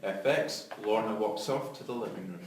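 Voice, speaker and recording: A man's voice: fairly low pitch, normal speed. A man narrating scene headings and stage directions in a scripted read-through. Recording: very echoey, distant mic, background noise.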